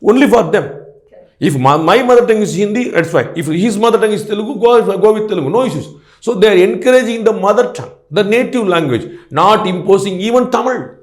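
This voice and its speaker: A man's voice: high voice, animated, fast. Man in an echoey room. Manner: rhetorical questions, frequent fillers and restarts. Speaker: political guest commentator. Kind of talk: interview answers, argumentative.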